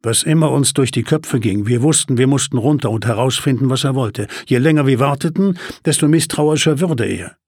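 A man narrating a first-person crime story as an audiobook, measured and tense with dry humor. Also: mid-range voice, darkish tone, no echo.

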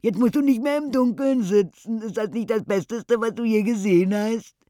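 A man voicing a loud, jolly castle monster that booms and giggles. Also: normal speed, dry recording, studio quality, close to an everyday voice. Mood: boisterous.

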